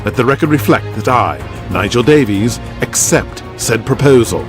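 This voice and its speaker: A man's voice: mid-range voice, animated, moderate pace, voice pushed up, close to an everyday voice. A man acts out a prim British executive in an audio drama. He speaks formally and carefully, as if minuting a board meeting. Faint music plays underneath. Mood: formal, composed.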